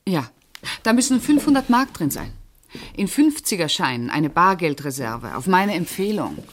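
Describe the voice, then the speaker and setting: An actress playing a pointed, suspicious witness under questioning in a radio crime drama. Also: female voice, fairly low pitch, very animated, moderate pace, slight background noise. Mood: insistent. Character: pointed, suspicious female witness, friend of the victim. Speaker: actor.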